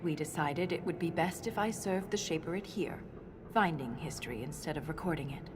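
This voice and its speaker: A woman playing an earnest dwarf scholar in a video game, speaking in a measured, solemn and resolute way. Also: sits low, background noise, lightly acted. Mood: composed, earnest. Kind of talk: video game dramatic dialogue. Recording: roomy echo, music behind.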